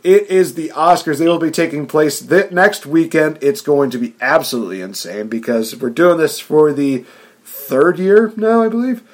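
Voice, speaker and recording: A man hosting a podcast, chatting casually, excitable and laughing often, with frequent restarts. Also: a little high, moderate pace. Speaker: podcast host. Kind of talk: casual chat.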